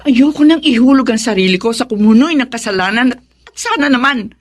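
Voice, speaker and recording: A woman's voice: on the high side, expressive, fairly quick. An actress playing a devout, principled and devoted single mother in a Filipino radio drama, speaking earnestly. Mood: troubled, firm.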